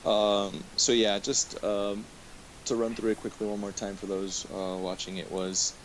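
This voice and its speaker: A man hosting a webcast, running through news from his notes in a casual, halting way. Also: lowish voice, level tone. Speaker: webcast host. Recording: hissy, noisy online webcast audio.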